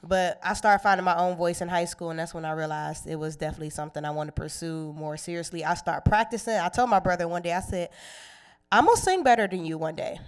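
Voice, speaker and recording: Young woman, Cleveland accent, smooth clear voice, lowish pitch, relaxed and playful, casual interview. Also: fast talker. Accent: Cleveland, Ohio. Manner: relaxed, laughs easily, playful. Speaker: guest singer.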